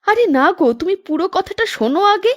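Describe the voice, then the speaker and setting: A worried middle-aged mother, acted by a woman in a cartoon family drama. She is fretful and insistent, speaking in a high voice. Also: lively intonation, fast.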